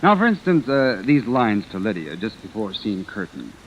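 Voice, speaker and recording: A man's voice: lowish voice, sing-song, normal speed. An actor playing an effusive, emphatic male theater producer in a 1950s radio drama. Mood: excited, admiring. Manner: gushing, emphatic. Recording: muffled noisy vintage radio recording.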